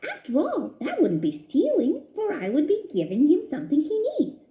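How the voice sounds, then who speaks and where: A woman voicing a young boy mouse who is greedy and later guilty, with a high squeaky voice and a stammer. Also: huge pitch swings, moderate pace, roomy sound. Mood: scheming. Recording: dull lo-fi recording.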